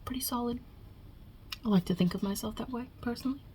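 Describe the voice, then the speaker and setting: A woman voicing God as a wry, touchy Italian mob boss in an ASMR roleplay, with a husky, breathy voice and a put-on Italian-American New York accent. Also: a little high, quick pace, slight background noise, lightly acted. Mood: wry, frank. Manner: casual, wry, chatty, with softly murmured asides.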